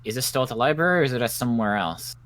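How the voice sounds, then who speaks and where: A man plays a pragmatic doctor hunting for a cure in a zombie roleplay. He is dry and curt, with sarcastic jabs. His pitch moves around a lot as he talks. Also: expressive, racing, a bit bright, lightly acted. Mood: curt, businesslike. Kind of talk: improvised zombie survival roleplay in a game.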